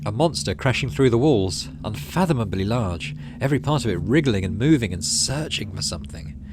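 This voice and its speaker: A man's voice: on the low side, lively intonation, fairly quick, background noise. A man hosting a gaming playthrough, reading story text aloud and adding casual commentary. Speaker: gaming channel host.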